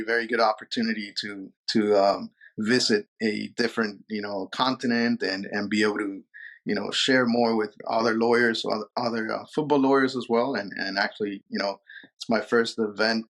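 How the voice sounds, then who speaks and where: Low voiced guy from peru talking law stuff in an interview, lots of um and repeats. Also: gravelly, a bit bright, low-quality recording. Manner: thoughtful, many fillers and repeated words. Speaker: sports lawyer, guest. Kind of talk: casual interview answers.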